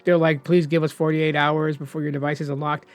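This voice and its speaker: A man explaining phone unlock rules in a casual, rambling vlog, hoarse as he says he is losing his voice. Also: a little high, flat delivery, a little fast. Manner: chatty, rambling, opinionated. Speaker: tech vlogger.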